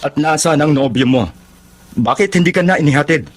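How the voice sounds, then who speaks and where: A man's voice: a little high, brisk, lightly acted. An actor playing a stern but loving working-class father in a radio drama, solemn and advising. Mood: stern concern. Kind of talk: Filipino radio drama acting.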